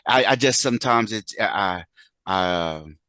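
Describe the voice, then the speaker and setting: A man with a lowish voice, recorded close and dry, hosts a casual interview. He searches for words, starting and restarting his questions before landing them. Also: lively intonation, pushed and bright, dry recording. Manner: halting, searches for words, rephrases repeatedly. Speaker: interview host.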